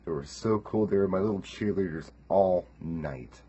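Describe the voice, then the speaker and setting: A man's voice: low-pitched, moderate pace, mellow, breathy edge, highs cut off. A man hosting a DJ video show, recalling a favorite gig in an upbeat way over a webcam in a home studio. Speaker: show host, DJ. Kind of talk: casual video recap.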